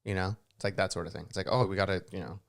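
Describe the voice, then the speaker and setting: A young man co-hosting a podcast, joking and teasing in casual chat, with a slightly raspy throat. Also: sits low, fairly even intonation, rapid-fire. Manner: joking, playful, self-deprecating. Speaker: podcast co-host.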